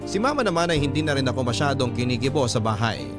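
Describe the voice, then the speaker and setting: A man's voice: mid-range voice, expressive, quick pace. Male storyteller over background music. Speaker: storyteller narrator. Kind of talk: first-person dramatic narration of a letter. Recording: music under the voice.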